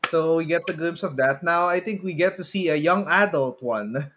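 A man co-hosting a casual podcast chat, feeling out his phrases haltingly with repeated words. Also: a little high, fairly bright tone. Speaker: podcast co-host. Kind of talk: casual chat about movies and games.

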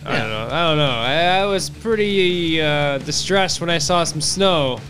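A man's voice: fairly high pitch, animated, moderate pace, a bit bright, soft background music. A man on a podcast panel, chatting casually and swearing freely. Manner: profane. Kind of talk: casual chat.

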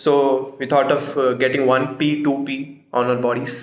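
A young South Indian man talks quickly and loosely, laughing between his thoughts as a guest on a podcast. His voice sits in the middle and carries a clear echo off the room around him. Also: mid-range voice, little pitch movement, dull audio. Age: young adult. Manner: chatty, laughing, mixing in Telugu. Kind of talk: casual chat.

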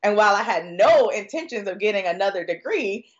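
Woman, American accent, mid-pitched voice, chatty podcast guest, conversational answers, slightly lo-fi recording. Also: lively intonation, brisk. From Florida, United States. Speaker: sales expert guest.

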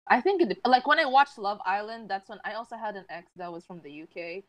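A woman co-hosting a podcast in casual chat, telling stories excitedly and saying "like" a lot. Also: medium pitch, fairly quick, no echo. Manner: excitable, animated storyteller, lots of "like". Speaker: podcast co-host.

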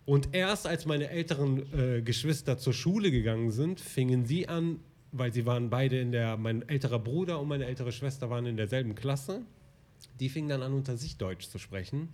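A man's voice: medium pitch, moderate pace. A man born in Morocco and raised in Germany, chatting casually and telling stories with frequent hesitations. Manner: relaxed storytelling, frequent hesitations. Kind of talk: casual conversation.

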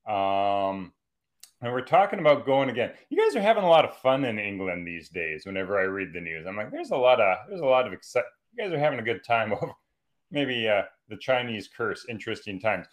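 Low-voiced rambling Canadian-raised man. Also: very animated, a little fast. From Calgary, Alberta, Canada. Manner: rambling, self-correcting, jokey asides. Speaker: guest, professor and writer. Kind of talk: casual conversational interview.

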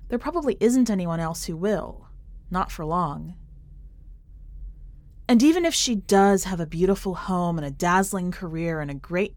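A woman's voice: on the low side, a little mellow, no room sound. A woman podcast host who reads fiction aloud as a narrator and comments on it. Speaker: podcast host and editor reading a short story.

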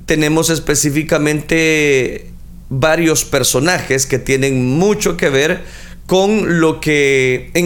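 Man, high voice, preacher, earnest sermon, talks straight to the congregation. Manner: earnest, addresses listeners directly, frequent fillers. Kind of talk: sermon on scripture.

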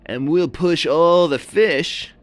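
A man's voice: a little high, no room sound, voice pushed up. A man voicing a friendly, laid-back male surfer seal who drawls in surfer slang. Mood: easygoing. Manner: surfer slang, drawn-out dude talk.

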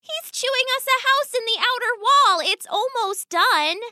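A woman voicing a young female rat, a patient, lovesick daughter with a little squeaky voice, in a children's story. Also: animated, a little slow, pushed and bright, no echo, clean studio sound, full character voice. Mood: pleading. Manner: patient, reasoning, youthful.

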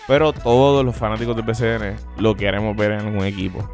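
A man with a Puerto Rican accent co-hosts a casual sports podcast in a lowish voice. He teases his partner and fires off quick strings of denials. Faint music plays underneath. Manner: animated, teasing, repeats "no, no, no". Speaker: podcast co-host.

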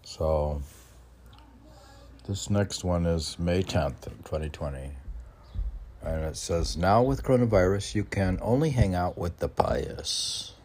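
A man's voice: low voice, takes it slowly, a little mellow, slight background noise. A man with a New York accent reading his own poems aloud, rambling between them and answering himself with many fillers. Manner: meandering, talks back to himself with many fillers. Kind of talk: rambling spoken commentary and poetry reading.

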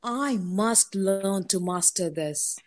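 Woman acting an awestruck, slow-spoken newly powered heroine. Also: mid-range voice, natural voice. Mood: resolute. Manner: wondering, slow, exclaiming. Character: awestruck woman discovering her newfound superhuman powers. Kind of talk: audio drama acting.

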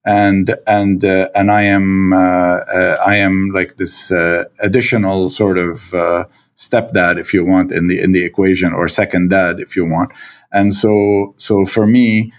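A man with a Lebanese accent and a very low, deep voice, speaking as an interview guest. He talks haltingly, with frequent fillers and restarted phrases. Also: level tone. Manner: hesitant, many fillers and restarts. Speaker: guest, stepfather. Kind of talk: conversational interview.

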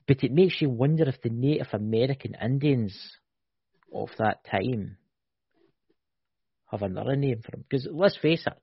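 A man co-hosting a casual podcast, speculating in an animated way and often tagging "right?" onto his points. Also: medium pitch, moderate pace, long pauses, husky, no room sound. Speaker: podcast co-host. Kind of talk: casual chat. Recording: dull lo-fi, dry.

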